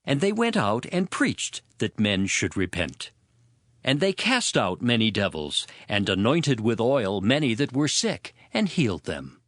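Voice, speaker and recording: A man narrating a dramatized scripture production with measured, expressive storytelling. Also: medium pitch, no room sound, studio quality. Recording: music behind the voice. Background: prominent background music.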